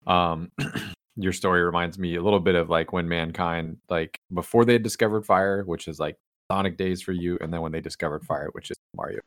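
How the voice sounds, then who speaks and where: Deep-voiced man hosting a chat. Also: moderate pace, no echo, studio quality. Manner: relaxed, rambling, joking. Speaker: podcast host. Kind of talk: casual chat.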